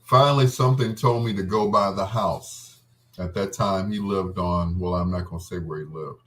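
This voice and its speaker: Man, lowish pitch, radio host, casual storyteller, rambling, reminiscing. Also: moderate pace. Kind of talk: casual storytelling between love songs.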